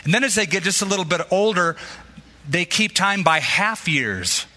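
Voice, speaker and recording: A male pastor delivers a sermon with a very bright, forceful tone, and his voice rings out in a hall with a long reverb. He tells stories in a lively way and acts out the voices of the people he quotes. His voice sits somewhat high for a man. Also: moderate pace.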